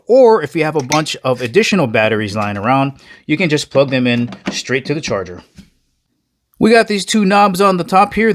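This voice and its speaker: A man giving a casual, conversational product review, addressing viewers directly as he explains. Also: medium pitch.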